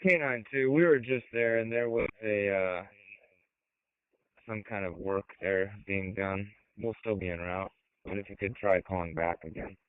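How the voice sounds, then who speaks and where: A man's voice: lowish voice, unhurried. A man giving terse emergency service messages over a radio scanner channel. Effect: radio transmission. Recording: noisy tinny radio scanner, clicks.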